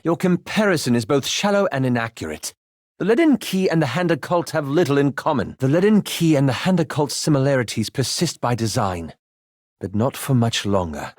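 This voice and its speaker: A man's voice: mid-range voice, a little mellow, dry recording. A man voicing a scholarly male elf wizard in a video game, with precise, formal diction and a dry, wryly sarcastic manner. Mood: dry, disdainful. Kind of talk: video game character dialogue.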